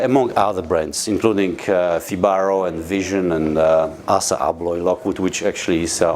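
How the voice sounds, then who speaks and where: A man's voice: deep voice, a little mellow. A man with a Polish accent giving a live product presentation to a training audience, hesitant with many fillers and restarts. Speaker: company presenter at a training session.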